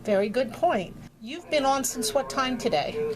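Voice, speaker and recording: A woman's voice: high voice, big pitch swings, a little slow. A woman conducting an informal interview, asking questions in an attentive, appreciative manner.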